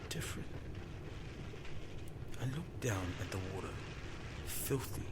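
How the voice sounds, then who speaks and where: A man's voice: medium pitch, background noise. A man playing a time-travelling fisherman who tells his story in the first person, theatrical and anguished, in an audio drama. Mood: stunned, hushed. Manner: theatrical, swings from breathy murmur to anguished shouting.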